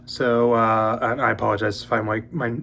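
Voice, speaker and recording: A man's voice: medium pitch, normal speed, creaky. Sniffly young man chatting about books. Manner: relaxed, rambling, many ums and uhs. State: sniffly, recovering from a cold. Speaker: book channel host. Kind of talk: casual monthly reading update.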